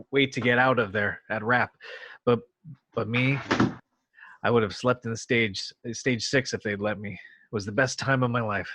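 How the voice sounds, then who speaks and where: Man acting a bright-voiced, earnest space-show captain. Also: lowish voice, dry recording. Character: earnest male actor famed as heroic sci-fi captain. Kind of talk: amateur screenplay table read.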